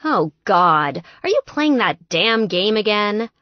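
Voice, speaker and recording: A woman's voice: medium pitch, very animated, takes it slowly, no echo, muffled recording. A woman narrator voicing a blunt, pushy young woman friend in an audiobook, brash, impatient and chatty.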